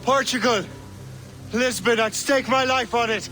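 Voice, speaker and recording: A man's voice: very high-pitched, steady tone, unhurried, bright, forceful tone, faint music behind, full character voice. A man playing a cocky young Irish assassin in a video game, cheeky and spirited. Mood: eager, cocky.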